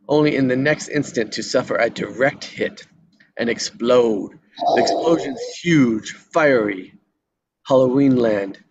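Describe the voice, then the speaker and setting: Guy reading stage directions at a table read, average pitch, bit muffled, faint hiss. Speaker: narrator reading action descriptions. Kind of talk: scripted screenplay narration at table read.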